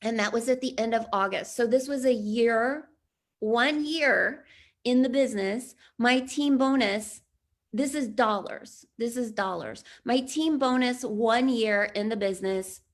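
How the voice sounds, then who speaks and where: A woman in her thirties talks her team through a business training on a video call. Her voice sits a little high and carries a slight rasp, and her delivery is upbeat and chatty. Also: measured pace. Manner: upbeat, chatty, frequent laughs and throat clearing. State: slightly raspy voice this morning. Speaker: business coach. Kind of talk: online training presentation.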